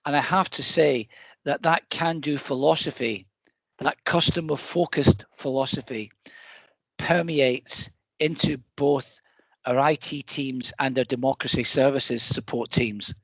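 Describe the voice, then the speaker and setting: A man's voice: medium pitch, a little slow, a bit bright, no echo, low-quality recording. A middle-aged Scottish man, a council general manager, giving an earnest scripted address to camera. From Scotland.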